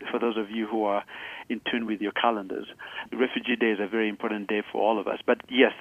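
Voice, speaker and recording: A man from Uganda, a guest on a radio interview, explaining earnestly with some halting restarts. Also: fairly low pitch, no room sound. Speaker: guest, charity founder. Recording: phone line.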